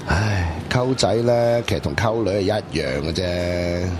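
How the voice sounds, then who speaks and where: A man's voice: sits low, unhurried, background noise. A man playing an easygoing young friend in casual Cantonese radio drama dialogue. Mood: gently advising.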